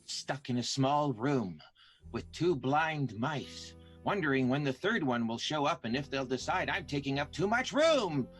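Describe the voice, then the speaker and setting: A man's voice: medium pitch, animated, unhurried, faint music behind, natural voice. A man playing a wry, brooding 1920s matinee idol in an improvised radio play. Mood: wry, uneasy.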